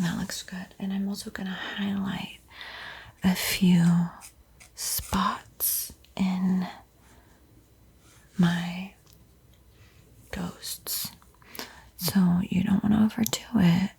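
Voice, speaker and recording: A woman teaches in a hushed ASMR whisper, extremely airy and soft. Her delivery is slow and encouraging, with a dark, mellow tone. It sounds like a calm step-by-step tutorial for beginners. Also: mid-range voice. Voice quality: breathy whisper. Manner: slow, gentle, encouraging instruction.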